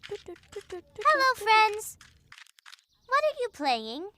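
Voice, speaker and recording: A performer voicing a playful, forthright little female character in a kids' cartoon, childlike, eager and expressive. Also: very slow, very noisy, full character voice. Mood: curious, eager. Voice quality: very high, childlike. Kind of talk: animated kids' cartoon dialogue.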